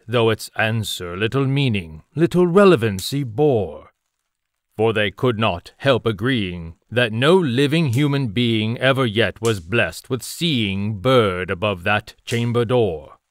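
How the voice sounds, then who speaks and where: A man playing a solemn storyteller, narrating gothic poetry in a theatrical, measured audio drama style. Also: lowish voice, dry recording.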